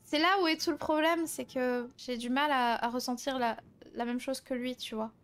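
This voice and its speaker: Woman roleplaying an anxious young woman, high voice, hesitant, confiding, casual improvised game dialogue. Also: fairly even intonation, moderate pace, a bit bright, smooth. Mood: apologetic, anxious.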